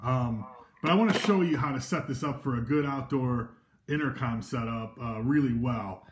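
A man's voice: medium pitch, normal speed, background noise. Casual man demoing gear off camera. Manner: casual, loose, with frequent fillers and restarts. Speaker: equipment demonstrator. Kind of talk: informal product demo. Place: indoors at a table of devices.

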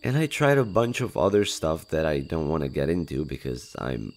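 A man voicing a shy, gentle skinwalker monster in an audio roleplay, speaking hesitantly with innocent curiosity about humans. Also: a bass voice, animated. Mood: sheepish. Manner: hesitant, halting, innocent wonder. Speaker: voice actor. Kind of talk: intimate audio roleplay, one-sided dialogue.

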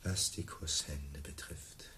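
An actor playing a disoriented, evasively polite husband in a radio play, speaking hesitantly and questioningly. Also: male voice, extremely low pitch, steady tone, takes it slowly, sharp tone, heavily acted.

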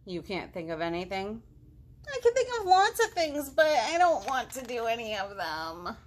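A woman's voice: fairly high pitch, big pitch swings, moderate pace, slight background noise. A woman voicing a bored, restless young boy frog from a picture book, whiny and exaggerated.

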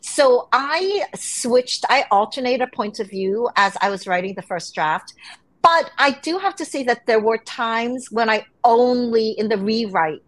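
A middle-aged woman speaks from her home on a video call, her voice sitting a little high. She tells her stories with animation, reaching for quoted voices now and then. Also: unhurried. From Hong Kong and New York. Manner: animated storytelling. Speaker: guest author. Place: home room.

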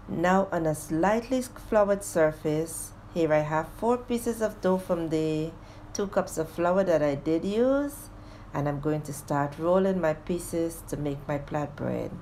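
Home cooking host, woman; lowish mellow voice, steady how-to delivery, faint hiss behind. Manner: plain, steady instructional tone addressing viewers as guys. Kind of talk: step-by-step recipe narration.

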